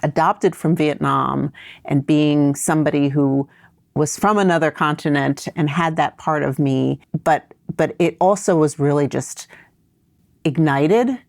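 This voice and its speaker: Low gravelly woman, thoughtful storyteller. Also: no room sound, clean studio sound. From raised in New York. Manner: thoughtful, winding storytelling with frequent restarts. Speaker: podcast guest. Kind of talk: conversational interview answers.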